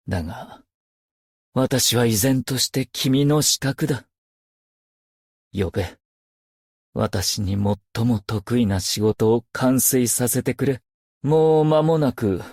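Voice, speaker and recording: An actor voicing a brooding, haunted stage performer turned loyal assassin in a video game, with solemn, theatrical and poetic delivery. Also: male voice, mid-range voice, darkish tone, no room sound, clean studio sound. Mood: subdued, resolute. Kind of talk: scripted game character voice lines, theatrical monologue.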